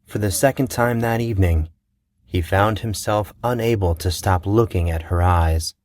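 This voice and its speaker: A man narrating a fantasy story in a measured, unhurried reading style. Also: fairly low pitch, darkish tone, no echo, studio quality. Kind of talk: audiobook fiction reading.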